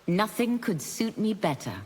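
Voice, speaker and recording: A woman's voice: mid-range voice, lively intonation, normal speed, darkish tone, big-room sound, slight background noise, lightly acted, poor audio. A woman voicing an earnest, resolute demon warrior seeking redemption in a video game, with formal speech. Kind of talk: video game fight-intro dialogue.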